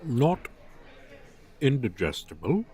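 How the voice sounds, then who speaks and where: A haughty dragon teacher, acted out by a man, holds forth in a theatrical roleplay. The delivery is slow and stately, with pompous, over-formal wording. The pitch swings expressively as the proud creature scorns lowly humans. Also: very animated, dark tone, a faint crowd. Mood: dismissive, haughty. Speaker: roleplay voice performer. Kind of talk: ASMR roleplay monologue, theatrical.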